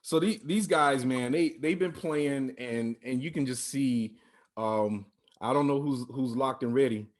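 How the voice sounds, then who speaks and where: A man's voice: medium pitch, a little slow. Encouraging rambling male host. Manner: rambling, repeats phrases, encouraging. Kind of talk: casual church-music interview.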